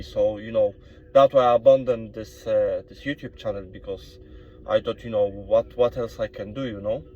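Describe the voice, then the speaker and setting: A man with a Polish accent vlogging casually from inside a car, talking in a halting way and leaning on "you know" and restarts. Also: lowish voice, mellow. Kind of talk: casual monologue to camera. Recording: dull sound with car and road noise.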